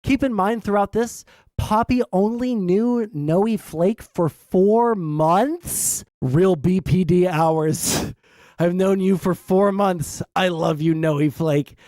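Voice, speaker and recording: A young man with a high tenor voice, giving blunt, sarcastic reaction commentary and swearing freely. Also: slow, darkish tone, no room sound, clean studio sound. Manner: blunt, sarcastic, swears often, many "all right?" tags. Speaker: commentary streamer.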